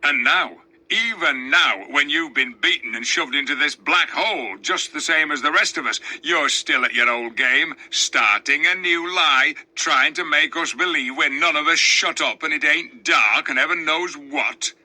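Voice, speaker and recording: A man's voice: fairly high pitch, a little slow, hardly any pauses, pushed and bright, full character voice. A man voicing a surly, suspicious, quarrelsome dwarf in a storybook reading, with scornful, indignant, colloquial speech. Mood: scornful, incredulous.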